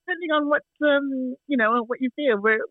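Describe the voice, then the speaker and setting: A woman's voice: high-pitched, fairly quick, no room sound. A Canadian woman in her forties, a chatty guest who tells stories and laughs often. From Canada, Toronto. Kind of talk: casual chat over a call. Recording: dry tinny phone line.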